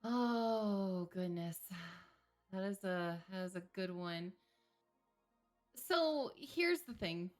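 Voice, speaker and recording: A woman therapist speaking as a guest and giving calm, measured advice on a live stream. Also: mid-range voice, soft background music. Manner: slow, measured, reassuring explanations.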